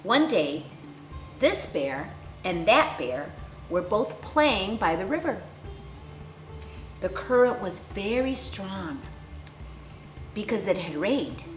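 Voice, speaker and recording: A woman storyteller reading a picture book aloud to young children with theatrical, deliberate phrasing and dramatic emphasis. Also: medium pitch, big pitch swings. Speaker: children's storyteller, clown character host. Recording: roomy echo, faint music behind.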